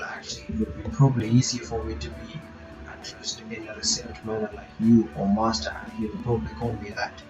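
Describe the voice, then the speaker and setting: A man reading a visual novel aloud as a reaction host, stumbling at times and breaking in with quick asides. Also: mid-range voice, roomy sound, low-quality recording. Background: game music.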